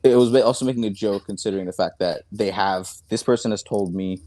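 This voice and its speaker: A man with a deep voice answering accusations haltingly, in a flat, even way of talking. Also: no echo. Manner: hesitant, halting, monotone. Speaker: content creator answering accusations.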